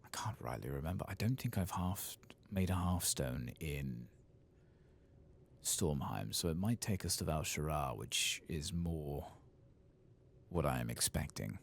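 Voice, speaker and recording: Very deep, soft-spoken man with creaky voice. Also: expressive, a little slow. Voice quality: soft, hushed, sometimes slipping into whispers. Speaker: video game streamer. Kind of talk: soft-spoken ASMR game playthrough commentary.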